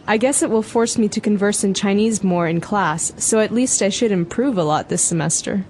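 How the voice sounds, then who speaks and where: A woman with a mid-range voice reads a student's part in a scripted lesson dialogue. Her delivery is measured and a little doubtful, with faint ambient noise behind her. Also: mid-range voice, darkish tone.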